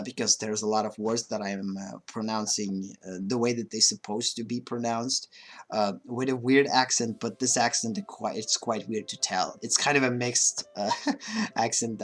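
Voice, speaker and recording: A man whose first language is Russian, speaking English with a mixed, slightly Russian accent in a casual, hesitant livestream monologue full of fillers and restarts. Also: fairly low pitch. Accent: mixed Russian-influenced. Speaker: livestream host.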